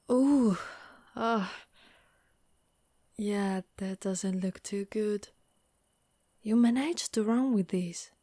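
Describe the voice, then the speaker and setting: A woman's voice: medium pitch, warm tone, dry recording, clean studio sound. A woman voicing a caring, wry female Dullahan, an undead knight, in a reassuring, teasing, conversational ASMR monster roleplay. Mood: caring, concerned. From Italy. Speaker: voice actress.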